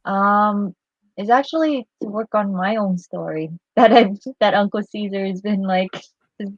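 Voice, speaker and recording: A woman's voice: medium pitch, unhurried, no room sound, dull audio. A woman who grew up in Vietnam, a guest artist chatting casually on a livestream, giggly and hesitant with fillers.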